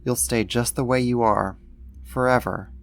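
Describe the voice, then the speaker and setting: A man playing a doting alien creator who soothes a human pet, affectionate and gently possessive, in an ASMR roleplay. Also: mid-range voice, fairly even intonation, measured pace, soft background music. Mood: serene, possessive.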